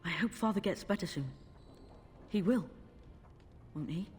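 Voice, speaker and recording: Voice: mid-range voice, lively intonation, a little slow, lightly acted. An actor playing a polite, worried young boy of noble birth in a fantasy video game. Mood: grateful, worried. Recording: long echoing reverb, music behind. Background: game music.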